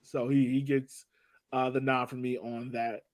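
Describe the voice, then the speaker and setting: A man's voice: medium pitch, flat delivery, measured pace, a little mellow, no echo. A man co-hosting a casual sports podcast, chatting about a game in a playful, joking way. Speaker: podcast co-host.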